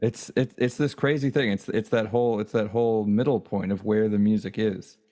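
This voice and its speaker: A man's voice: lowish voice, steady tone, fairly quick, mellow. A man hosting a music podcast, chatting in an easygoing, enthusiastic way with his guest. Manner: easygoing, enthusiastic, laughs easily. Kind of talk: casual conversation.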